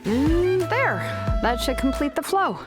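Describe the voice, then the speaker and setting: A young woman who forges names in a video game. She is matter-of-fact and quietly sure of herself, explaining her craft in measured phrases. Her pitch moves in a lively way over faint music. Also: full character voice. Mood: focused.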